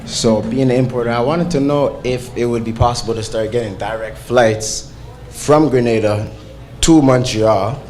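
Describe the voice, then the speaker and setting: A man's voice: lowish voice, darkish tone. A man speaking as an audience member, asking a question at a public town hall. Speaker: audience questioner, grocery owner and importer.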